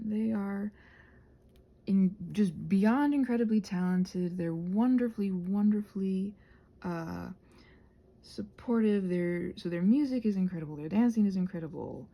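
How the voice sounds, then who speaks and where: A soft-spoken woman in a casual, rambling chat while she crafts, meandering and interrupting herself as she murmurs along. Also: a little high, gravelly, breathy edge. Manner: meandering, digressive, self-interrupting. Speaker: craft vlogger and audio creator.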